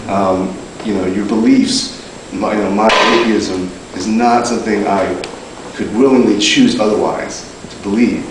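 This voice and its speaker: Low-voiced man asking from the audience. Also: steady tone, unhurried, heavy background noise. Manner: earnest, casual, uses "you know". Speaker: audience member asking a question. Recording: echoey hall taped from far off.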